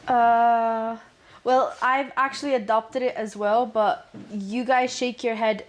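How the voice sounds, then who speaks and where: A woman's voice: a little high, level tone, slow pace, a little hiss or hum. Frank, chatty young woman from Dubai. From Dubai, UAE. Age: young adult. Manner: frank, chatty, laughs easily. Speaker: student guest. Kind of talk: casual interview answers.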